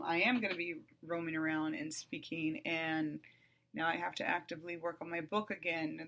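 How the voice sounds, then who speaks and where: A middle-aged woman hosting her own podcast, talking bluntly and with profanity in a calm, even voice that flares up loud at times. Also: on the low side. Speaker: podcast host. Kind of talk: candid monologue.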